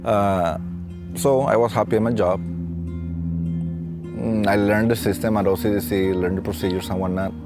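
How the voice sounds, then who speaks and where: A man in his late thirties with a Mexican accent, telling his own story in a halting, reflective way. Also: fairly low pitch, steady tone. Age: late 30s. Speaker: facilities and safety technician and staff trainer. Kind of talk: reflective personal storytelling for a training film. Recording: soft plucked guitar music behind. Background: guitar music.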